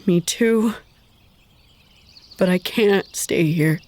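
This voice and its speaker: Quiet, halting young woman, slow and sorrowful. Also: lowish voice. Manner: quiet and halting, at times whispered. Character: young woman leaving her friends, sad, apologetic. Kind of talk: audio drama acting.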